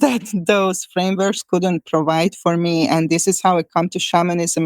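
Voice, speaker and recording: A woman's voice: lowish voice, dry recording. A woman in her forties from Hungary, speaking with a Hungarian accent, answering interview questions as a shamanic healer in a thoughtful way full of fillers and restarts.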